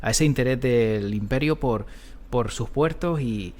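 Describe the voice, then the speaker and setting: Man hosting, mid-pitched voice. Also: unhurried, darkish tone. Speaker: podcast host. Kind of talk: conversational interviewing.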